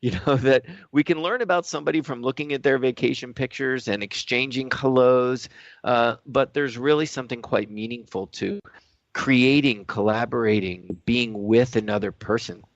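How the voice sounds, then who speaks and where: A man co-hosting a radio discussion, speaking reflectively and conversationally, often pausing mid-sentence to find his words. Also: medium pitch, no echo, lo-fi sound. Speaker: radio show co-host.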